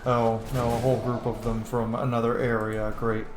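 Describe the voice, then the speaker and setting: A man streams a video game, chatting casually and wandering into asides. His voice sits in a roomy, echoey space with clear game music playing behind him. He throws playful exclamations at the characters as he plays. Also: mid-range voice, little pitch movement, normal speed. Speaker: gaming streamer and content creator. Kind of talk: casual let's play commentary. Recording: roomy echo under loud game music.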